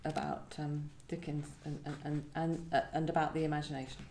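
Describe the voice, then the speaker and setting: Lower-voiced mellow woman in echoey room. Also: little pitch movement, fairly quick, slight background noise. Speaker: guest poet. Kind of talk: live poetry event, introducing and reading poems.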